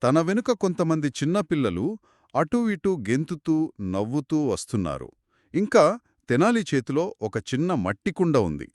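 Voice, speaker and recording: A man telling a children's story in a conversational, expressive and explanatory way, as one of two narrators. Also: fairly high pitch, normal speed, no room sound, clean studio sound. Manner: expressive, explanatory, acts out characters' quoted lines.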